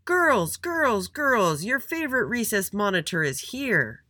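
A storyteller voicing a boastful, dramatic young schoolboy in a children's story, whiny and loud. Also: mid-range voice, very animated, unhurried, dry recording, clean studio sound, voice pushed up, full character voice. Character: little Andy, boastful dramatic schoolboy recess monitor.

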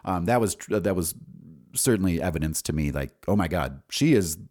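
A man's voice: low voice, lively intonation, brisk, a little mellow. A man in his forties hosting a casual solo podcast, rambling and candid with some salty language. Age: 40s. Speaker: podcast host and songwriter.

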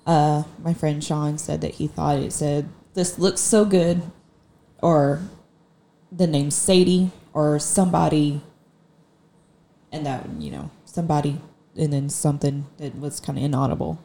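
A woman with a deep voice on a paranormal investigation team, talking casually and rambling a bit. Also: fairly even intonation, measured pace, creaky, dark tone, a little hiss or hum. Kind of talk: casual group discussion.

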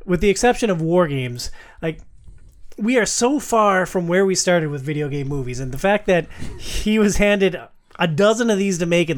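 A man hosting a casual film discussion, enthusiastic and opinionated in a slangy way. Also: fairly high pitch. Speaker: podcast host.

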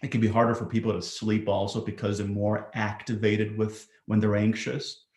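A man from Greece, a psychiatrist, giving measured interview answers with frequent fillers. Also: on the low side, flat delivery, a little mellow, reverberant.

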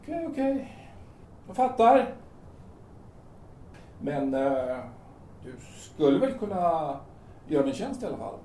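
A man acts out a calm, coaxing carpenter in an audio drama. His voice sits high for a man and moves animatedly, with hesitant, colloquial phrasing. It sounds roomy and distant from the microphone. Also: pauses often, a little hiss or hum. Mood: anxious, coaxing.

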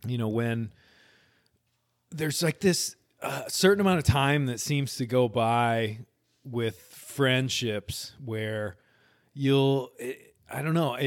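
A man in his forties hosting a relaxed podcast chat, his voice sitting in an ordinary middle register. He talks earnestly and a little rambling, circling back on his sentences and laughing easily. The sound is dry and close, with no room around it. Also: mid-range voice, dry recording. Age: 40s. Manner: earnest and rambling, with frequent restarts and easy laughs. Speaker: podcast host. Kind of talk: casual conversational interview.

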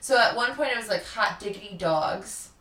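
Bright-voiced woman in an echoey room. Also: mid-range voice, lively intonation, normal speed. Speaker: podcast co-host. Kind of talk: casual chatty storytelling about local history. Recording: roomy echo, distant from mic.

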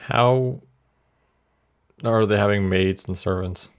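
A man chatting casually as a podcast co-host, tossing in short, deadpan, teasing quips. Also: fairly low pitch, takes it slowly, clear, resonant tone. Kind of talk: casual chat, short interjections.

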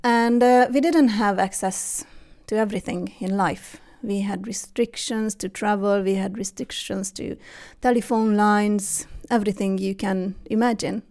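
A woman in her forties with a Hungarian accent, a guest telling her story in a relaxed interview, with frequent fillers and restarts. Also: mid-range voice, measured pace, gravelly, few pauses. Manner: hesitant, many fillers and restarts. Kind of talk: casual interview conversation.

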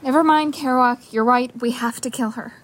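A woman's voice: a little high, normal speed, lightly acted. A woman playing an anxious young survivor, hesitant, earnest and pleading, in an audio drama.

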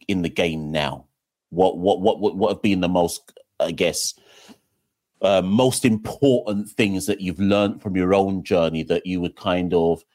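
A man's voice: deep voice, a little mellow. A man hosting a podcast, asking long, rambling questions in a chatty way. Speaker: podcast host. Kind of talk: casual interview chat. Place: room in London.

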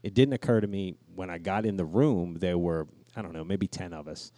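Male podcast co-host, lower register, casual raconteur with a profane streak, very dry sound. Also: fairly quick, warm tone, no echo. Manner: crude, profanity-laced. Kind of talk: casual storytelling banter.

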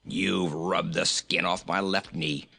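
Narrator putting on a grumpy boy goat, deep n growly, curt and always complaining, kids storybook. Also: steady tone, takes it slowly, bright, forceful tone, exaggerated voice. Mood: angry scolding. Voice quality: gruff, growling. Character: gruff, sulky, scolding talking male goat.

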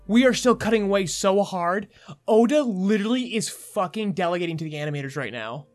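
A man in his thirties hosting a casual podcast, talking excitably and emphatically, repeating himself and swearing freely. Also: sits high, fairly quick, faint music behind. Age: 30s. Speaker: podcast host. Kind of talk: casual excitable chat about manga.

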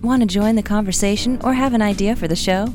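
A woman's voice: mid-range voice, fast talker, darkish tone. A woman reading a polished, promotional show intro as announcer. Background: music bed.

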